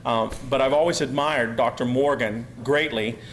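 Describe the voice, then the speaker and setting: A man from Pennsylvania giving a talk to a live audience, loud and rambling, full of fillers and restarts. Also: medium pitch, normal speed, a little hiss or hum, poor audio. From Pennsylvania, USA. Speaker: guest speaker.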